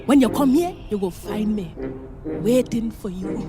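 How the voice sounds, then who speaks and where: A woman acting in a radio play as an amorous, possessive older neighbour quick to scold, with a Trinidadian accent and a theatrical, coaxing manner. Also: medium pitch, animated, lightly acted. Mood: scheming, affectionate. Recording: radio play with music behind.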